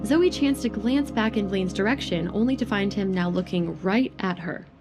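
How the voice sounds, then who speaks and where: A woman's voice: medium pitch, normal speed, music in the background. A woman narrating a scripted romance drama as its storyteller.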